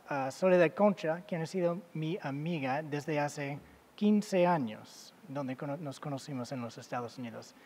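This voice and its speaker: Halting man lecturing in a reverberant hall. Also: a little high, darkish tone. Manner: halting, many fillers and self-corrections. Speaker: guest lecturer. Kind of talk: academic talk to an audience. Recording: long reverb of a large hall.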